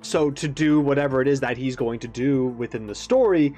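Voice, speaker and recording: A man's voice: medium pitch, a little fast, dark tone. Man giving casual commentary over faint music. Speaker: reaction video host. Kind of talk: casual off-the-cuff reaction commentary.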